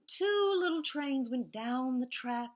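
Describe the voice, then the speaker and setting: A woman reading picture books aloud to young children in an expressive, sing-song way, sometimes hushed, pausing to point things out to her listeners. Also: high voice. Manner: animated and sing-song, drops to hushed, whispery repeated sound words.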